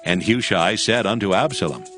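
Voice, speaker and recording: A man narrating scripture as scripted storytelling, in a measured and solemn manner. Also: on the low side, fairly bright tone, soft background music.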